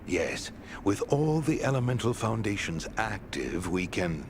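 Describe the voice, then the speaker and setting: A man playing a stern, dignified old quest-giver in a fantasy video game, speaking formally and deliberately. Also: lowish voice, sing-song. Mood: approving, formal. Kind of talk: video game character acting. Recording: clearly noisy game capture.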